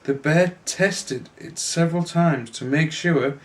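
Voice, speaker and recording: A man's voice: fairly high pitch, takes it slowly. A man narrating a children's storybook aloud as an amateur reader, now and then stumbling and correcting himself. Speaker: amateur storybook reader, a father reading for his family. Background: music.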